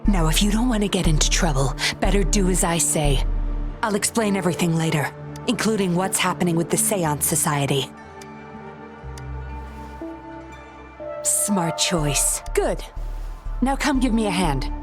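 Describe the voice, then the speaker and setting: Game role: woman as cunning undercover officer, composed, smooth-talking, slightly low voice, clear music behind. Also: lively intonation, moderate pace, natural voice. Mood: conspiratorial. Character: sly, composed undercover female patroller. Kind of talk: video game voice acting.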